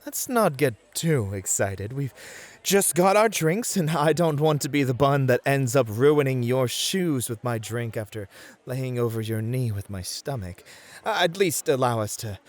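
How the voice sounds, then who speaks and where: A man's voice: mid-range voice, lively intonation, a little slow, lightly acted. A man playing a cheeky, flirty, playful club host in an ASMR roleplay. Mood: playfully nervous. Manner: playful, bantering, polite to guests.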